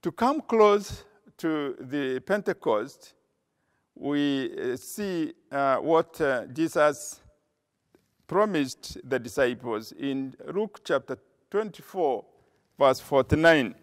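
An older man preaching a sermon from a church pulpit, slow and measured, pausing with frequent "uh" as he cites scripture. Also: on the high side, expressive. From Kenya. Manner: slow, measured delivery with frequent uh pauses, reading scripture aloud. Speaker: pastor. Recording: long reverb of a church hall.

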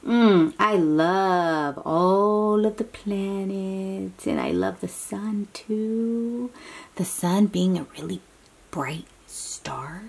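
A woman reading a picture book aloud to young children, expressive and full of exclamations, drawing out words and dropping into hushed asides as she asks simple questions. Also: mid-range voice, drawn-out words, gravelly, slightly rough. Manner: talks directly to young listeners with simple questions. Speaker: children's read-aloud host.

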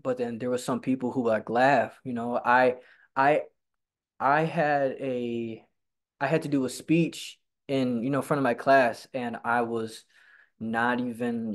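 Young man who stutters, many fillers. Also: mid-range voice. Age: young adult. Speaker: guest. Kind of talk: casual video-call interview. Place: home room by a staircase. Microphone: laptop webcam on a video call.

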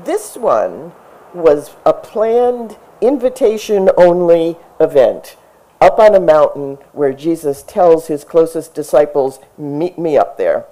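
Middle-aged woman pastor, outdoor sermon, slow deliberate delivery, lively pitch, warm dark tone. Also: low-quality recording. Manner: measured, explanatory preaching with emphatic rises. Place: outdoor tent service in front of a brick church. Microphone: stand mic at a lectern, far camera.

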